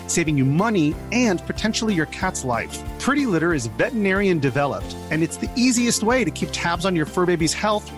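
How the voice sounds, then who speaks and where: A man with a highish, animated voice gives a scripted advertising pitch as a company's founder. His intonation moves freely, and music plays clearly behind him.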